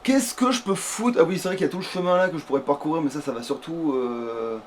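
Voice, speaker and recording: A man playing a video game and chatting casually, swearing and thinking out loud as he goes. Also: on the high side, fast. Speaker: player in a gaming let's play. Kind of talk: casual gaming chat while playing. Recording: noisy home recording with background ambience.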